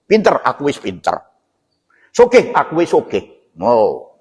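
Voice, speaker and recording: A man's voice: medium pitch, huge pitch swings, unhurried, a little mellow, slightly rough. A man with a Javanese accent giving an informal mosque sermon, explaining scripture in a didactic way with rhetorical questions and check-in tags. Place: inside a mosque. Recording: reverberant hall sound.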